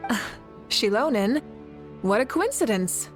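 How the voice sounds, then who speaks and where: A woman's voice: a little high, sing-song, a little slow. An actress playing a composed, assured female leader of a warrior nation in an anime game. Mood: hesitant. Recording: game music behind.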